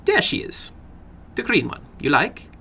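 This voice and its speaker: An animated little foreign man acted out by a male reader talks in halting broken English with searching pauses. His voice is pitched high and swoops about eagerly, with a bright edge. There is faint noise behind this horror story reading. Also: heavily acted. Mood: excited, inviting.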